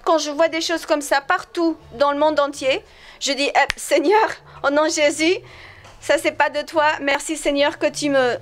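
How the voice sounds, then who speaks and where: A woman with a strong high register and an American accent tells her testimony as a guest. English is her native language, and she often stops to search for words or restarts a phrase. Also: steady tone. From United States. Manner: halting, searches for words in French. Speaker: guest, missionary evangelist. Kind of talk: personal testimony in conversation.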